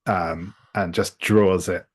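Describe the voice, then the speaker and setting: A man's voice: sits low, fairly even intonation, slow pace, no room sound, studio quality. A man playing a tabletop roleplaying game, describing the action hesitantly as he searches for words.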